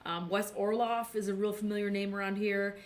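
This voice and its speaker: A woman's voice: medium pitch, level tone, fairly quick. A woman hosting a casual behind-the-scenes broadcast from a race control tower, talking in an upbeat, chatty way and laughing often.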